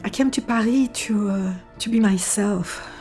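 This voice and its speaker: A woman's voice: medium pitch, normal speed, mellow, soft background music, close to an everyday voice. An actress playing a young woman in an audio drama, anxious and questioning, vulnerable but stubborn, in a naturalistic, hesitant manner.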